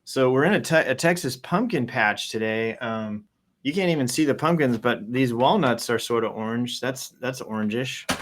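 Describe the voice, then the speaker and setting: A man's voice: mid-range voice, moderate pace. A man hosting a casual, jokey live show in a playful, theatrical, rambling way. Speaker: host. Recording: noisy, with nature sounds behind. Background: forest and nature ambience.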